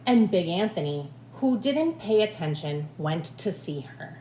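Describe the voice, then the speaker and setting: A woman reading a storybook aloud like a teacher, with expressive, deliberate phrasing for children. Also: on the low side. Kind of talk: storybook read-aloud narration. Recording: echoey room, faint background noise.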